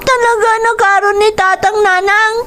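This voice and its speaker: A frightened young child in an Ilocano radio drama. The voice is extremely high, plaintive and whimpering, with an Ilocano accent, over background music. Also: female voice, fairly even intonation, moderate pace, sharp tone. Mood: tearful, pleading. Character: frightened, hurt young child of a violent father. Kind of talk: Filipino radio drama acting.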